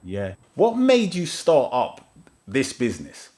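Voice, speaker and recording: A man's voice: mid-range voice, wildly swooping pitch, takes it slowly, darkish tone. A man hosting an interview podcast, chatting in a laid-back, easygoing way with his guest. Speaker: podcast host. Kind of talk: casual interview chat.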